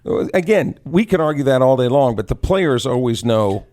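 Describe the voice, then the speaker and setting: A man co-hosting a sports radio show, chatting casually and giving his opinions in deliberate phrases. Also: mid-range voice, animated, a little mellow.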